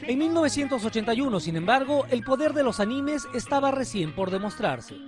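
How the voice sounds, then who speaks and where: A man with a high voice narrates a scripted TV feature. His delivery is lively and varied, over clearly audible music. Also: fairly quick.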